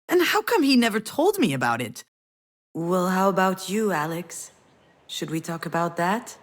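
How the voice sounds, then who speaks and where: A woman carrying grief and self-reproach in a visual novel drama. She speaks in a middle register, earnest and reflective, pausing as she works through her thoughts. Her delivery is intimate and tender. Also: medium pitch. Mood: pained, searching. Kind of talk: dramatic visual novel voice acting with inner narration.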